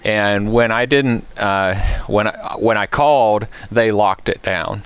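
Clear-voiced American man, slightly dull recording. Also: fairly low pitch, slow pace, no echo. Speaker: podcast co-host, IT security professional. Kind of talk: casual podcast chat.

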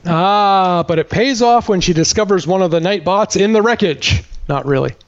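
High-pitched sardonic man recapping a movie. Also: moderate pace, highs cut off. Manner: sardonic, exasperated. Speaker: podcast host. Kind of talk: comedic movie recap read in dialogue with co-host.

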